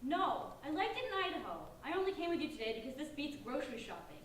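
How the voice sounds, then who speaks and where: A woman's voice: high-pitched, big pitch swings, quick pace. A woman playing a sulky, easily spooked sixteen-year-old girl in a staged play reading, whiny and blunt. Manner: whiny, blunt teenage complaining. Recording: echoey hall, distant mic, faint noise.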